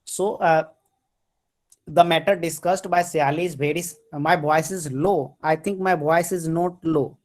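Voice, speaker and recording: A man's voice: on the high side, steady tone, measured pace, darkish tone. A man with an Indian accent teaching a maths class online over a computer mic, switching between English and Hindi and repeating his points.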